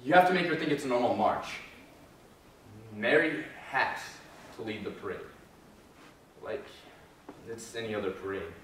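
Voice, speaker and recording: A man's voice: medium pitch, expressive, normal speed, long pauses, a bit bright, close to an everyday voice. A man playing a bitter, commanding elephant trainer with grim duty, intense and emphatic in a stage drama. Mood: grim, resigned. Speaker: actor in a student readers theater group. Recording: very echoey hall, distant mic, faint noise.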